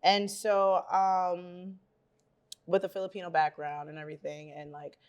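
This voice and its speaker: A woman's voice: lowish voice, little pitch movement, unhurried, clear, resonant tone, highs cut off. A young woman hosting a casual interview, upbeat and laughing easily, full of slang. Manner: upbeat, heavy use of "like" and "fire". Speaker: host.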